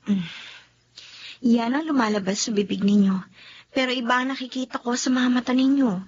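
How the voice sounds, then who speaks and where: A woman's voice: a little high, fairly even intonation, normal speed, slight background noise, close to an everyday voice. A woman playing a grown daughter worried about her mother, mixing Tagalog with English, in a slightly muffled radio drama. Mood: probing.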